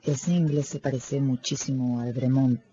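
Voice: medium pitch, steady tone, moderate pace, warm tone, dull audio, a little hiss or hum, lightly acted. A performer playing a vengeful, merciless tavern keeper in a radio drama, cold and insistent. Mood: cold vengeance. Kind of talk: Spanish-language radio drama acting.

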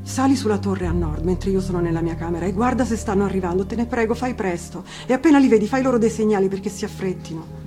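A woman's voice: medium pitch, fast talker, lightly acted. A woman voicing a terrified young wife in a fairy tale, trembling and pleading anxiously. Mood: anxious, urgent. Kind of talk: dramatic fairy-tale reading. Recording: music under the voice.

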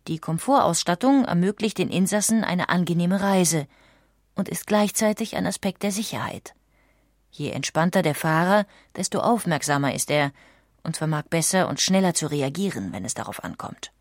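Low-pitched woman narrating a documentary audiobook. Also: no room sound, studio quality. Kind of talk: scripted documentary narration with read quotations.

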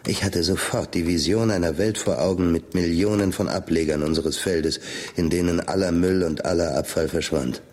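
A man's voice: low voice, fairly even intonation, moderate pace, darkish tone, close to an everyday voice. An actor playing a cold, calculating businessman who narrates a radio drama in a dry, matter-of-fact, sardonic way. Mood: wry, self-satisfied. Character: cold, calculating businessman recounting his scheme. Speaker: narrator and lead character.

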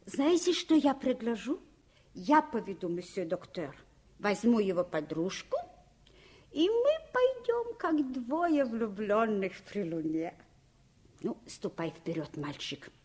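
An actress playing a spirited, kind French governess with a French accent and theatrical, foreign-tinged phrasing in a radio drama. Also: female voice, medium pitch, very animated, slow, darkish tone, husky, exaggerated voice. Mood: playful resolve. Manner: ungrammatical foreigner's speech, theatrical. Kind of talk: Soviet radio drama acting.

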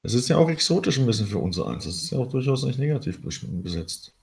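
A man's voice: mid-range voice, big pitch swings, fast, gravelly. A man in a casual podcast discussion, reasoning aloud in a tentative, musing way. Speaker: podcast panelist.

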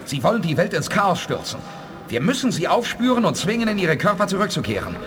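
A calm, commanding male expedition leader in a science fiction radio play. His voice sits fairly high, with clear noisy ambience around it. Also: brisk. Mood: calm, resolute. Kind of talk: 1980s German audio drama acting. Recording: clear background ambience noise. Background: ambient noise.

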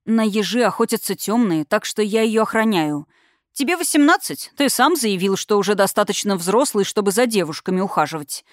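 A woman's voice: medium pitch, expressive, fairly quick, no echo, studio quality, natural voice. A woman voicing a stern, protective young male eagle shifter who speaks with reproachful authority in a fantasy audiobook.

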